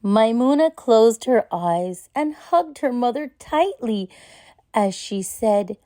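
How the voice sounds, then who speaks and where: Slow, mellow woman storyteller. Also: on the high side, expressive, no room sound, studio quality. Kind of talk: scripted children's story narration.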